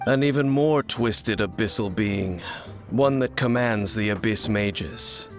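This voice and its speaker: An actor playing a mysterious, guarded wandering swordsman who speaks in a measured, solemn and formal way. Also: on the low side. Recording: game audio with soft music.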